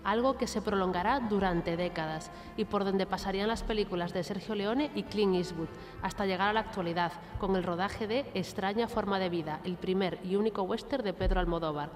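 A woman narrating a scripted radio segment in an emphatic, upbeat way. Her voice is mid-pitched and rings with a long reverb, with clear music behind her. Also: a little fast, few pauses. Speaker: radio presenter.

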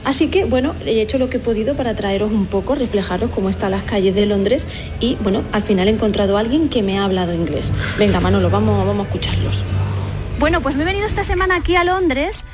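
A woman's voice: mid-range voice, animated, fast, over music. A woman from Spain with a Spanish accent, chatting casually and humorously as a radio reporter, joking and hesitating as she goes. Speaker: comedy radio show reporter.

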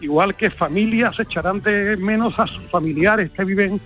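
A middle-aged hotel owner calls in to a radio show and talks at length in a high voice. He rambles from point to point, and faint music sits low behind him. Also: moderate pace. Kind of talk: phone-in chat.

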